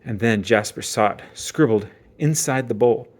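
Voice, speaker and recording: A man narrating a children's book aloud as dramatic storytelling, with theatrical emphasis and suspenseful pauses. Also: on the low side.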